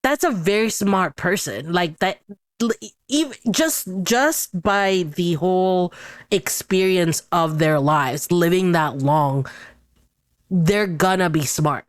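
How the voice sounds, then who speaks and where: Low female voice, podcast guest, casual gaming chat, halting thinking-aloud delivery, dry close sound. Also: dry recording.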